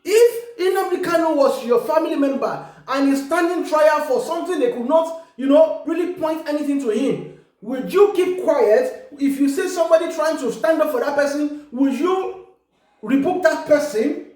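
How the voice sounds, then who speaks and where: A Yoruba-accented Nigerian woman with a high voice argues her point in English, pressing hard and often stumbling over repeated words. Her talk rings around a strongly echoing room. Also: normal speed, darkish tone. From Ekiti, Nigeria. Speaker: online commentator. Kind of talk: casual opinion monologue. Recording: very echoey room.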